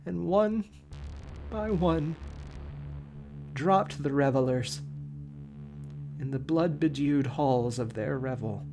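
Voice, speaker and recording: A man hosting a podcast, giving a dramatic, expressive reading of a story. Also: a little high, slow, creaky, pauses often, faint music behind, poor audio. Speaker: podcast host.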